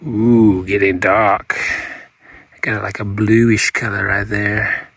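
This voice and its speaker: Male outdoor vlogger, casual show-and-tell; raspy, creaky voice, unhurried, muttered short phrases. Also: fairly low pitch, little pitch movement, fairly bright tone, no room sound. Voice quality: gravelly, husky. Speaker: rockhounding vlogger. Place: outdoors on open hilly ground.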